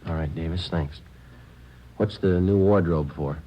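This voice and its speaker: A man playing a stern, dogged police detective in a vintage radio drama, terse, deadpan and matter-of-fact. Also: low-pitched, a little slow. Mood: probing. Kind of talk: 1940s radio acting with flat narration. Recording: dull, noisy old radio recording.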